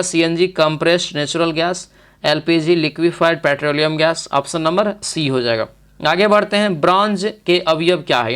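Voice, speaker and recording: A man's voice: fairly high pitch, a bit bright, clear, resonant tone. A man with a Bihari Hindi accent teaching through exam questions, often checking that listeners follow. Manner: instructional, repeatedly checking with listeners. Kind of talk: exam question walkthrough lesson.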